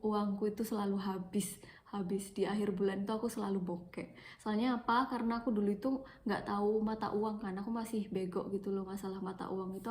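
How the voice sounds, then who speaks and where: A woman's voice: mid-range voice, dark tone. Young woman, east javanese accent, casual phone vlog, chatty, repeats herself for emphasis. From Malang, East Java, Indonesia. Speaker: vlogger.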